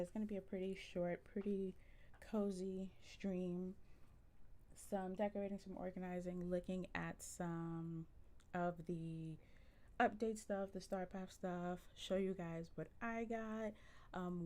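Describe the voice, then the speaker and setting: Woman, soft voice, mellow tone, fry at the edges, relaxed live-stream chatter, lots of ums. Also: lowish voice. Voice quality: generally soft voice. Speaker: livestreamer. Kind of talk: casual live-stream chat with viewers.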